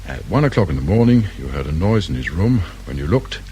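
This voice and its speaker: An actor with a British accent playing a shrewd, dry, irritable detective inspector in a radio crime drama. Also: male voice, deep voice, big pitch swings, brisk, warm tone, slight background noise, low-quality recording. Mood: probing, measured. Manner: probing, brusque, sardonic.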